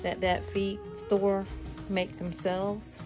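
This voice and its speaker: A woman's voice: mid-range voice, little pitch movement, slow pace. A woman homesteading vlogger chatting casually while doing chores, rambling and thinking aloud, filmed on a handheld phone outdoors and in a cluttered shed. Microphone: handheld phone camera. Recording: background music playing over the voice.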